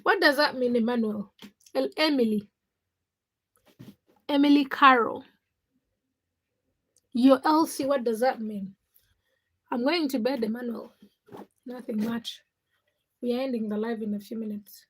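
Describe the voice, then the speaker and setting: High-voiced Ugandan woman livestreaming. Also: measured pace, long pauses, fairly bright tone, husky. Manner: short, fragmented phrases with repetition. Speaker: livestream host. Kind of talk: casual livestream chat, greeting viewers.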